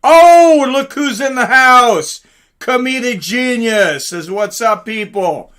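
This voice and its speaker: A man's voice: extremely high pitch, lively intonation, takes it slowly, a bit bright, clear, resonant tone. A man hosting a casual live reaction stream, chatting informally with viewers and commenting on fights. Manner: chatty, informal, greets viewers and reads chat aloud.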